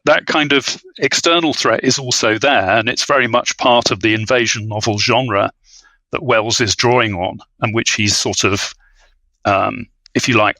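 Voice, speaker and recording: A man speaking as an expert guest in an academic discussion, hesitant and scholarly, often pausing to rephrase. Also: medium pitch, bright, forceful tone, no echo, poor audio. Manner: halting, hedging, scholarly, frequent "you know". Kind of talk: academic discussion on a film podcast.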